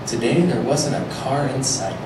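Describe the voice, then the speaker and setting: A man's voice: mid-range voice, moderate pace. A man doing a live comic storytelling performance, reading and talking to a room from a stage. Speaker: comedic storyteller. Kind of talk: live reading of a mock children's rhyme. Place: live venue stage before an audience. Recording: very echoey, noisy live room, far mic.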